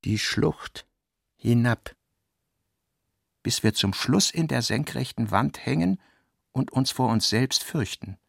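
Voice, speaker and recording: A male narrator with a gravelly, mid-pitched voice reads literary prose for a radio play. His phrasing is deliberate and dramatic, with pauses between phrases. The sound is dry, with no room on it. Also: animated, fairly bright tone, dry recording.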